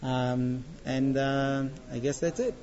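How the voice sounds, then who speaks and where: A man in his thirties giving an informal classroom talk as a teacher, speaking haltingly with frequent pauses. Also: medium pitch, steady tone, a crowd in the background. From born in Israel, later moved to the US. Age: 30s. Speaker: yeshiva teacher. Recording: dull, lo-fi sound.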